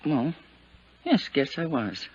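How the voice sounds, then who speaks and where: An actor in a 1950s radio drama playing a loyal, plain-spoken ranch servant, halting and deferential, with unpolished folksy speech. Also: male voice, fairly high pitch, sing-song, breathy edge, exaggerated voice. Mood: wistful, troubled. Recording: dull 1950s radio broadcast recording.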